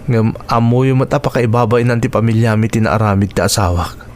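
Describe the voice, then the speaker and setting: A man's voice: medium pitch, steady tone, fairly quick, a little mellow, slight background noise, lightly acted. A man playing a humble, troubled father left alone with his children, with an Ilocano accent, in a radio drama.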